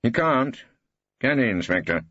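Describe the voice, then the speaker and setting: A man's voice: mid-range voice, big pitch swings, a little slow, sharp tone, no echo, muffled recording, pitched above the performer's own voice, heavily acted. A man playing a shrewd, combative old English barrister in an audiobook, insistent and given to pompous rhetorical flourishes. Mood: needling, rhetorical.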